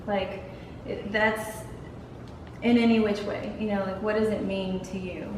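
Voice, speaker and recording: A woman's voice: mid-range voice, little pitch movement. A woman hosting a podcast, chatty and casual, trading stories with her guest. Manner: chatty, quick to laugh along. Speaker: podcast host. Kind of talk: casual interview chat. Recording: roomy, distant mic, clear background noise.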